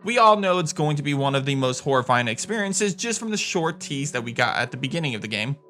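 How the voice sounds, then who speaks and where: A man's voice: medium pitch, very animated, quick pace, bright, forceful tone. A man hosting a video game countdown, reading scripted narration in an enthusiastic, emphatic way. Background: music.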